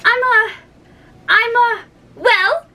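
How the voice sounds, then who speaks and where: A woman's voice: very high voice, drawn-out words, sharp tone, pitched above the performer's own voice, heavily acted. A woman voicing an earnest, flustered little girl, polite but puzzled, in a storybook. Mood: doubtful. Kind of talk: storybook character voice.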